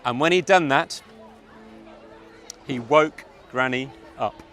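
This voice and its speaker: Bright, forceful male storyteller. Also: medium pitch, soft background music, some chatter behind. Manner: theatrical, deliberate, dramatic pauses. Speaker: storyteller narrator. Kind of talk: live fairytale narration for children. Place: outdoor public event.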